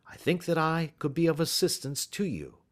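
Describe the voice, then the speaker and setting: A man reading aloud and voicing a calm, clever Victorian detective who speaks blandly and courteously. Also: a little high, normal speed, voice pushed up, natural voice. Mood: assured.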